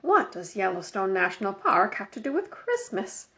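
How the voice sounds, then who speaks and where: A woman's voice: medium pitch, very animated, moderate pace, a bit bright. Woman reading as dry, exasperated storybook mother. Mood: incredulous. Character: exasperated practical mother of a family. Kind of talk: children's storybook read-aloud. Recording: dull lo-fi home recording.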